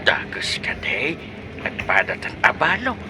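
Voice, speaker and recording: An actor playing an eager, teasing middle-aged suitor who stammers and wheedles, in a comedy radio drama. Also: male voice, on the high side, wildly swooping pitch, a little slow, noisy background. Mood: wheedling.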